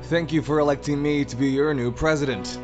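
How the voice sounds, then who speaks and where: An actor playing a zealous new president turned dictator, giving a solemn, oratorical speech in an audio drama. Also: male voice, fairly high pitch, fairly even intonation, brisk, music in the background, natural voice. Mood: solemn, reassuring.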